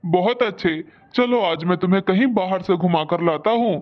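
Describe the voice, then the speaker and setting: A man voicing a touchy, dutiful young husband pigeon in a Hindi cartoon story, expressive and quick to complain. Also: high-pitched, dull audio, close to an everyday voice. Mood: cheerful.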